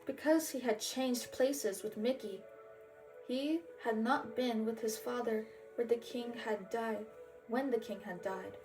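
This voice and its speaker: A woman narrates a children's storybook in a fairly high voice. Her reading is very unhurried and expressive, with music playing behind her. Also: low-quality recording. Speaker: storybook reader and channel host. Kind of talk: storybook read-aloud narration.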